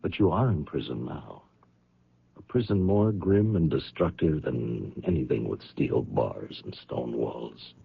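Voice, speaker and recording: Very deep, gravelly, persuasive confessor. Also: male voice, lively intonation, a little slow, heavily acted. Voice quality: gravelly, husky. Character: grave, persuasive man coaxing a guilty woman to confess. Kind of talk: radio drama acting. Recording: muffled, narrow-band old radio broadcast.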